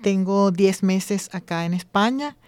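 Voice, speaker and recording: A woman's voice: medium pitch, measured pace, a little mellow, dry recording. A woman from Venezuela with a Venezuelan accent, a guest talking in an upbeat, hopeful way at a studio microphone.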